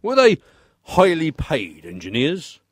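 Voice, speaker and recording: A man's voice: fairly high pitch, huge pitch swings, takes it slowly, dry recording, studio quality, heavily acted. Man acting a bemused handyman, swooping intonation. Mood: puzzled, willing. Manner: polite, hesitant, puzzled. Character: obliging, bemused male maintenance worker, eager to please. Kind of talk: short comic radio play acting.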